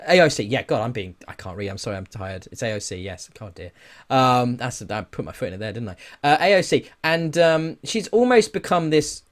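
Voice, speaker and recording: A man's voice: medium pitch, expressive, brisk. A man hosting a podcast, chatting casually about games and news in a rambling, self-deprecating way. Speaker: podcast host.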